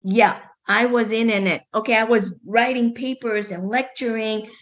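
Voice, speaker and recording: A middle-aged woman from Kenya, a medical doctor telling her story in a casual interview, animated, often repeating words with playful asides. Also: fairly high pitch, normal speed, lo-fi sound.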